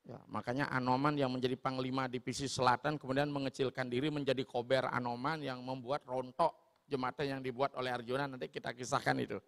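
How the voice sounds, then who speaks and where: Gravelly Sulawesi-accented male preacher. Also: mid-range voice, brisk. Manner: animated, switching into voices. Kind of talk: storytelling sermon. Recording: long hall reverb.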